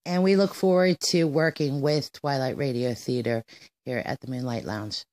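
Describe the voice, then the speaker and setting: A woman's voice: very deep voice, fairly even intonation, moderate pace, darkish tone. A woman hosting a radio show in an informal, somewhat hesitant style, often searching for words.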